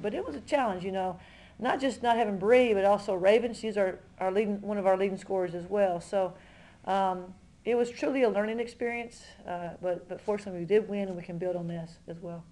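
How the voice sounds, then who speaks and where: A middle-aged woman, a college basketball coach, giving conversational postgame press conference remarks, often halting and repeating words as she goes. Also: mid-range voice, darkish tone, low-quality recording. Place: indoor press room in front of a backdrop.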